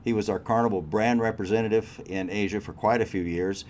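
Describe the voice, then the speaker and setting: A man's voice: fairly low pitch, fairly even intonation, a little fast, darkish tone, a little hiss or hum. A man hosting a cruise news show and interviewing a guest, talking in a chatty way straight to his listeners. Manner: chatty, addresses crew members directly. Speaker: host.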